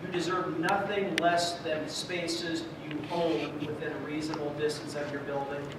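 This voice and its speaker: A man with a slightly high voice presents points at a public meeting, insistent as he moderates. He is recorded from a distance in a very reverberant hall, with clear background noise. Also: flat delivery, moderate pace. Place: indoor theatre at community meeting. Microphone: distant camera microphone. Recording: very echoey theatre hall, distant, noisy.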